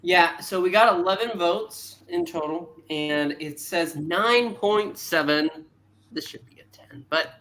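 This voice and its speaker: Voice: mid-range voice, unhurried, fairly bright tone. A co-host on a casual livestream panel, chatting and reading out viewer votes.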